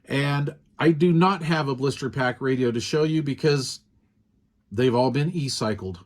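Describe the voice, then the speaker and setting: A man talking at an ordinary, middle pitch. He walks through a hands-on demo at a relaxed, unhurried pace and wanders between points. Ums and uhs come up often, like an everyday home video review. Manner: easygoing, rambling, frequent ums and uhs. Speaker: radio product reviewer. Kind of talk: casual hands-on product demo.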